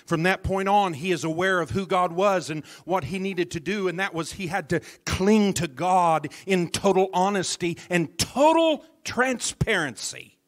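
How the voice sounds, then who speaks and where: Slow, dramatic preaching minister. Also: male voice, sits high. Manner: dramatic, drops to near whispers for emphasis; calls out to the congregation. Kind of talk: sermon. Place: church service.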